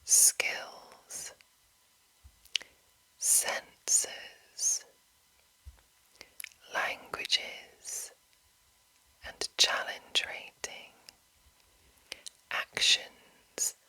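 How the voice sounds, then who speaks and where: Woman, ASMR host, fully whispered, very bright breathy hiss, unhurried, lingering phrasing. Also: low-quality recording. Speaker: ASMR host reading a monster manual. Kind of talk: whispered ASMR reading and commentary.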